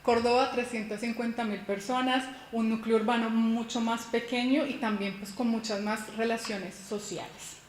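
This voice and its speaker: A woman's voice: on the high side, steady tone, normal speed, hardly any pauses. A woman from Colombia in her thirties giving a conference keynote, explaining in a personal way with rhetorical questions and a few restarts. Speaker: university lecturer giving a keynote. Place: conference hall. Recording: echoey hall with faint noise.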